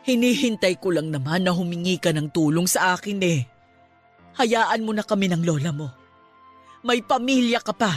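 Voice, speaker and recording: A woman playing a stern but caring middle-aged religious aunt in an emphatic Tagalog radio drama. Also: fairly low pitch. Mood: subdued, serious. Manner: emphatic, scolding then consoling.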